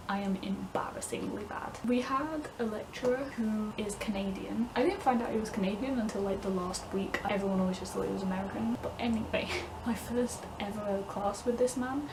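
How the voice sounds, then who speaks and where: A woman's voice: mid-range voice, background noise. Young English woman with a Midlands-northern accent, casual vlogger rambling and laughing, recorded a bit far off with audible ambience. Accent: English Midlands-northern with southern vowels. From England, north West Midlands. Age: young adult. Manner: chatty, laughs often, frequent "like". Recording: distant mic, clear room ambience.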